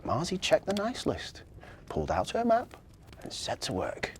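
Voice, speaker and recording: Male story reader: slightly high, breathy-husky voice, hugely varied intonation, children's storytelling. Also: huge pitch swings, normal speed, a little hiss or hum.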